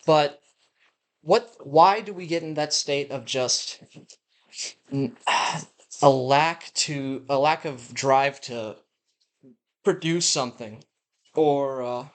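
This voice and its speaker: Guy with a slight lisp rambling into his laptop mic, musing and self-deprecating, mid pitch. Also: a bit bright. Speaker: vlogger. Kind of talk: rambling personal audio journal. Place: house, indoors, walking around. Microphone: built-in laptop mic. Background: dogs' claws clicking.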